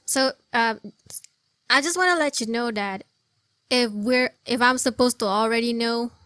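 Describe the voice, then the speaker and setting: Chatty, high-voiced woman gaming commentator. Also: a little slow, no echo, studio quality. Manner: expressive, chatty, rambling reactions. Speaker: gameplay streamer, commentator. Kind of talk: casual reaction commentary over a game.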